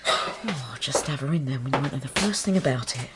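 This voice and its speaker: A woman's voice: rumbling low, expressive, brisk, very noisy. An actress playing a meek, anxious middle-aged English wife and mother in a radio drama, hesitant and downtrodden, with an English accent. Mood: timid coaxing.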